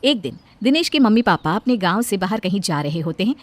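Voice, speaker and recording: A woman's voice: mid-range voice, sing-song, brisk. An Indian woman narrating a scripted bedtime story as a storyteller. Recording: background music under the voice.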